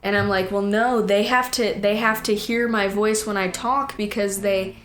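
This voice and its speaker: A woman's voice: mid-range voice, steady tone, moderate pace, fairly bright tone, a little hiss or hum. Teenage girl chatting casually. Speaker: guest, young singer and performer. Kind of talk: casual storytelling chat.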